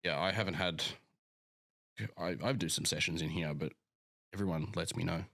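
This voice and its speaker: A man's voice: low-pitched, fairly even intonation, normal speed, a bit bright, dry recording, studio quality. A young Australian man, a physique coach, chatting casually and swearing freely on a podcast. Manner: laid-back, sweary, rambling.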